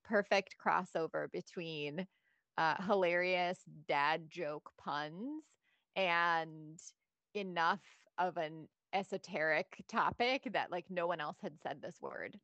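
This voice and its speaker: A woman's voice: fairly low pitch, takes it slowly, fairly bright tone, no echo, dull audio. A woman from Bosnia chatting casually as a podcast guest, joking and self-deprecating. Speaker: guest, pet product founder.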